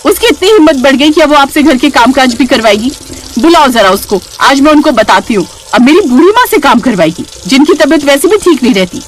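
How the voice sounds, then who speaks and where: A woman voicing a short, sharp-tongued, bratty young sister-in-law in a story, whiny, scolding and sarcastic. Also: sits high, lively intonation, a little fast, a little hiss or hum, voice pushed up, rough recording. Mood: angry, accusing.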